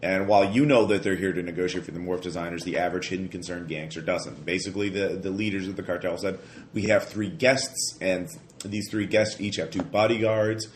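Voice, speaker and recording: A man with a stuffy, slightly hoarse voice from a cold, narrating a tabletop game as game master in a rambling way, full of fillers and restarts. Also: deep voice, fairly even intonation, brisk. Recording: roomy echo, faint noise.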